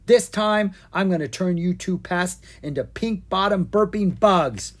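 A narrator voicing a grumpy, furious male wizard shopkeeper who shouts indignantly in a children's storybook. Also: mid-range voice, measured pace, pitched above the performer's own voice, close to an everyday voice. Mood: threatening.

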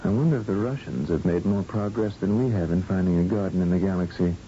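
An actor playing an earnest, secretive research scientist in an old-time radio science fiction drama. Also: male voice, deep voice, quick pace, warm tone, natural voice. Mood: grave, secretive. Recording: dull, noisy old radio recording.

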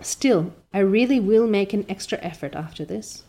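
A woman's voice: mid-range voice, normal speed, warm tone, close to an everyday voice. A woman voicing an imaginative, rapturous orphan girl who gushes with breathless enthusiasm, reading a storybook aloud.

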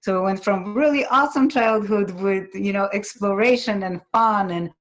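A woman from Poland, a native Polish speaker, telling her personal story as an interview guest in an earnest, measured, reflective way. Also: medium pitch.

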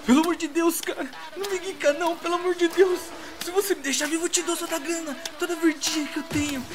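A man playing a terrified, desperate victim in an audio drama, pleading and bargaining frantically for his life with coarse language. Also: very high voice. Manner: pleading, frantic, foul-mouthed.

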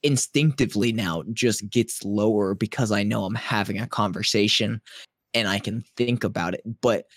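Low, reflective male podcast co-host. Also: fairly bright tone, no echo, clean studio sound. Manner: deliberately lowers his naturally high voice; reflective, thinks aloud in long sentences. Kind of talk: casual podcast chat.

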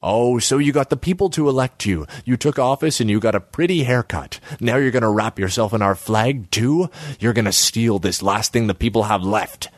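A man's voice: medium pitch, sing-song, normal speed, no echo, clean studio sound, voice pushed up. A man voicing a cocky, slangy, sneering male street gangster in a story reading. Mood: taunting. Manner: taunting, slangy.

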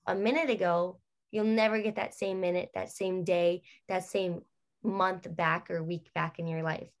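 A woman's voice: on the low side, fairly even intonation, unhurried. A young adult woman, a guest in a casual interview, talking at length in a rambling way with many fillers.

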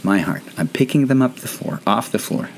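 A man's voice: on the low side, animated, normal speed, slight background noise. A Filipino man, not a native English speaker, hosting a casual, rambling, self-deprecating chat show. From Philippines. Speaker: podcast host.

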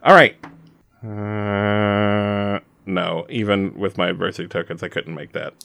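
A man chatting casually as a tabletop game player, thinking aloud in a halting way with many restarts. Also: lowish voice, steady tone, creaky, fairly bright tone. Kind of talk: casual improvised game chat.

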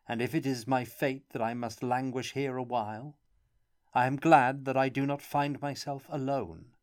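A man voicing a gentle wandering storyteller. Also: medium pitch, normal speed, pitched above the performer's own voice, close to an everyday voice. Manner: gentle, calm. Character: young travelling player, gentle and steady.

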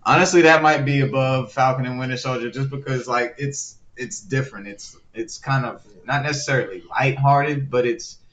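Loud rambling man on a lo-fi podcast. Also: medium pitch, normal speed, hardly any pauses. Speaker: podcast co-host. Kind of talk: casual chat. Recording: dull lo-fi sound, faint noise.

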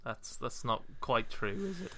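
A young man with a Welsh accent chats casually as a podcast co-host. He talks slowly, in a halting, stop-start way, and his voice has a bright, forceful edge. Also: medium pitch, animated, a little hiss or hum. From Wales. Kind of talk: casual chat.

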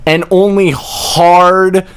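A young American man chatting casually on a podcast, animated and playful, stretching words out for emphasis. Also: sits high, extremely slow, clear, resonant tone. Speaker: podcast guest.

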